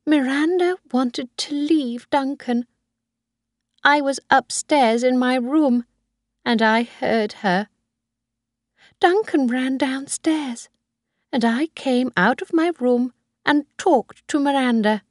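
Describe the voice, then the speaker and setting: A woman voicing a frail, obsessive old grandmother who talks in a shrill, pleading way, read as an audiobook. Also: slow, dry recording, clean studio sound. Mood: confessing. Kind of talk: audiobook reading.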